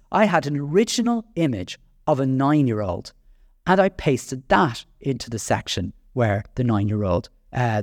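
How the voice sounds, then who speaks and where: An Irish man talks through his solo podcast in a chatty, self-deprecating way, tripping over restarts and filler words. His voice sits at an everyday middle pitch. The recording is dry and close, with no room sound. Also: dry recording. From Ireland. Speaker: podcast host.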